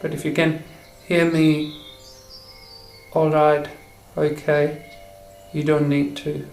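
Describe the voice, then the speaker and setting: Man, guided sleep meditation, slightly high even voice, halting phrases, roomy echo, faint insects behind. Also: slight background noise. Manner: slow, halting delivery with short phrases.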